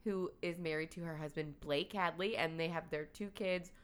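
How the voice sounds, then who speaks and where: A woman's voice: low voice, fairly even intonation, moderate pace, clear, resonant tone. A woman co-hosting a casual podcast, stuffed up, chatty and laughing often as she starts a true crime story. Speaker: podcast co-host.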